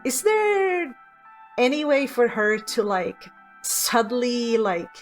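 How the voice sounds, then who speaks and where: A woman's voice: a little high, very animated, takes it slowly, soft background music. A woman playing a tabletop game, casually describing her character's actions and musing aloud in character.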